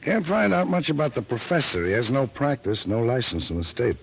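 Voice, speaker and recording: An actor playing a practical police lieutenant in an old radio crime drama, businesslike and dryly needling. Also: male voice, mid-range voice, big pitch swings, fairly quick, creaky, slightly rough, exaggerated voice. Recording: noisy old radio recording.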